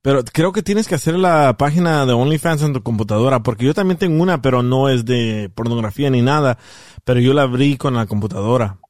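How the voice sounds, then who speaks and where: A man's voice: medium pitch, fairly quick, darkish tone, no room sound, studio quality. A man hosting a radio show, bantering casually with callers in a teasing, laughter-filled way.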